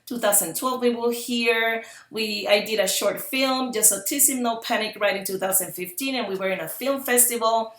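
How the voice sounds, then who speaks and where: A woman's voice: fairly high pitch, moderate pace, hardly any pauses, fairly bright tone. Earnest woman in her fifties, roomy echo. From Puerto Rico. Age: 50s. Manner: earnest, repeats phrases for emphasis. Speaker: parent advocate presenter. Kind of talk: public presentation talk.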